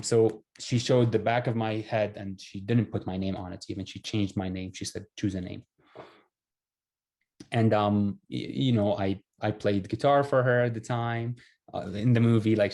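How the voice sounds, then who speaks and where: A young man from Syria speaks English. His voice is fairly low and very mellow. He tells his own story conversationally, with a modest, self-effacing manner. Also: steady tone, normal speed. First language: Arabic. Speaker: refugee telling his life story. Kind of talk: casual personal storytelling.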